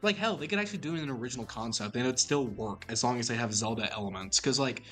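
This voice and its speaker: Young male podcast co-host, excitable, rambling delivery, faint music underneath. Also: medium pitch, quick pace. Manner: rambling, excitable, restarts often. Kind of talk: casual chat.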